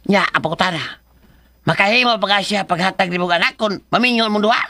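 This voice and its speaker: A man's voice: sits high, fast talker, creaky, bright, forceful tone, no room sound, heavily acted. An actor playing a wary, teasing male sidekick in a radio drama, hesitant and protesting, with a Filipino Cebuano accent. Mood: curious. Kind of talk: Philippine radio drama acting.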